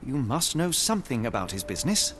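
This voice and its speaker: An actor playing a shrewd, self-assured British gentleman detective in a video game, speaking formally and probingly. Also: mid-range voice, very animated, brisk, natural voice. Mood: pressing, stern. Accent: British English. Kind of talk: video game cutscene acting. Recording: music behind the voice.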